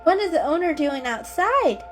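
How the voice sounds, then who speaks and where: A woman voicing a curious, excitable young female kitty in a storybook reading, with an eager, questioning manner. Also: very high voice, moderate pace, soft background music, pitched above the performer's own voice.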